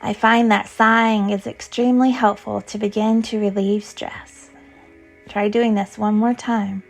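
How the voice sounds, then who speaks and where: A woman leading a guided yoga nidra meditation as a teacher, with a soothing, gentle, unhurried manner of instruction. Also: medium pitch, little pitch movement, creaky, faint music behind.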